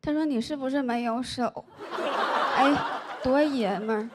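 A woman, performing stand-up into a handheld mic on a big indoor stage. Her voice sits high, and she delivers stories about home life deadpan. The crowd's laughter rolls clearly under her punchlines. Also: measured pace. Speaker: stand-up comedian. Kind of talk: stand-up comedy set. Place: large indoor comedy stage. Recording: live audience laughter noise. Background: audience laughter.

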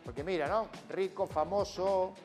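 A man's voice: high voice, normal speed, a little mellow, faint music behind. A man in his forties with a Galician accent hosting a TV comedy show, asking mock-earnest, stammering questions in a scripted interview. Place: TV studio stage with audience.

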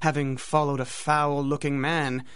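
A man's voice: a little high, little pitch movement, a little slow, fairly bright tone, smooth. A man narrating a radio drama in a theatrical, dramatic storytelling manner.

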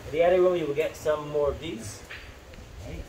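A man's voice: on the high side, fairly even intonation, brisk, dark tone, poor audio. Easygoing Barbadian man guiding a cave. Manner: easygoing, joking, says "man" and "right?". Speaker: local tour guide. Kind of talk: casual guiding chat. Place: outdoor seaside cave. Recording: outdoor noise behind.

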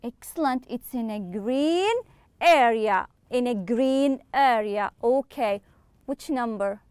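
A woman teaching English to children online, slowly repeating words and praising her pupils. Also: fairly high pitch, darkish tone, clean tone, no echo. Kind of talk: online classroom lesson.

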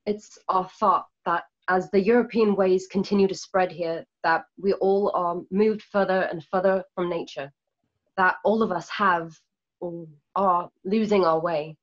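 A woman's voice: on the low side, fairly even intonation, a little slow. A woman playing a solemn, idealistic rebel in a radio play, earnest and oratorical, over a video call. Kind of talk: remote radio play reading. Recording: dull lo-fi video call audio.

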